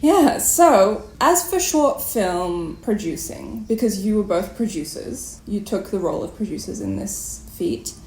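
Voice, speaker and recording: A woman in her twenties hosting a conversational interview in a chatty, playful way with expressive phrasing. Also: mid-range voice, a little slow, few pauses. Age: 20s. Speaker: podcast host and interviewer. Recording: echoey room, faint background noise.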